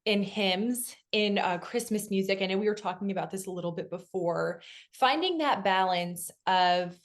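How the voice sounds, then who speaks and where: A woman's voice: mid-range voice, normal speed. A woman interviewing a guest, asking questions in an engaged, affirming way.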